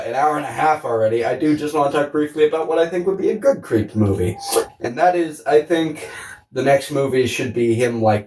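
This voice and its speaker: A man's voice: mid-range voice, darkish tone. A man co-hosting a casual film discussion podcast, talking in a rambling, profane way. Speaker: podcast co-host. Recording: roomy, echoey, distant mic.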